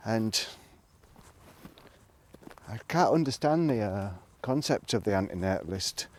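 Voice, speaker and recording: A man talking casually to a vlog audience in a reflective, rambling monologue, musing haltingly and trailing off between thoughts. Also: lowish voice, darkish tone. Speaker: vlogger. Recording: noticeable background noise, clicks.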